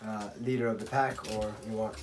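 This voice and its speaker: A man from Spain giving a casual, rambling hands-on tutorial as a racquet stringer, often clearing his throat. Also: on the low side, little pitch movement, fairly quick. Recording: clattering workshop tool noise.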